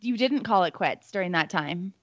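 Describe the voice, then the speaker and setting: A woman's voice: mid-range voice, animated, normal speed, no echo. A woman interviewer chatting casually with a guest. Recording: slightly dull, lo-fi call audio.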